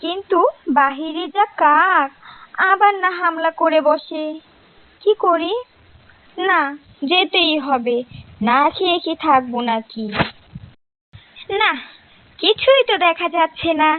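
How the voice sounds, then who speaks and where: Squeaky cartoon housewife acted by a woman, grumbles to herself, super high, tinny narrow audio. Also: takes it slowly, long pauses, slight background noise. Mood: wary, musing. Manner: complaining, talks to herself. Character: grumbling, chatty housewife hounded by crows. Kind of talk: cartoon story monologue. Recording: narrow-band, phone-like sound.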